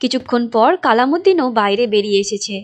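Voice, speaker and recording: A woman narrating a scripted moral tale for an animated story. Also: fairly high pitch, quick pace, a little hiss or hum.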